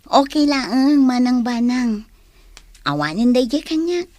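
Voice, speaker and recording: A woman playing a forgiving, dignified daycare teacher in an Ilocano radio drama, speaking in a measured, earnest way. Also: sits high, lively intonation, mellow, no echo. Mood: calm, forgiving.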